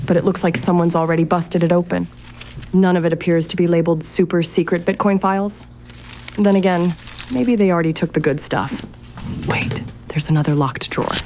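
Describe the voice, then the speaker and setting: A woman's voice: lowish voice, background noise, close to an everyday voice. An actress playing a sardonic, curious young woman in a fiction podcast drama, thinking aloud to herself in a naturalistic, exasperated way. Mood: puzzled, searching. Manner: wry, sarcastic, exasperated muttering to herself. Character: sardonic, curious young woman investigating her late relative's mysterious house. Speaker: lead actor in an audio drama.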